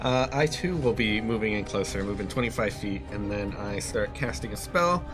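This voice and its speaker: A man's voice: on the low side, animated, normal speed, music in the background. A man describing his moves casually as a player in a tabletop game.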